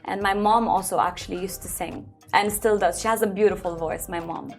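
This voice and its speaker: A woman's voice: mid-range voice, fairly even intonation, brisk, soft background music. A young woman who grew up in Belgium, a singer talking casually in an interview, answering in long runs with many fillers. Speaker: singer-songwriter guest.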